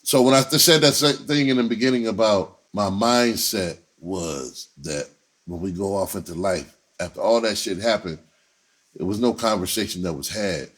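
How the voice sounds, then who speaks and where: Deep, husky-voiced man telling stories casually. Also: moderate pace, a bit bright. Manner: profane, streetwise slang, conversational. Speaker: entertainer telling personal stories on own channel. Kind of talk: casual storytelling monologue.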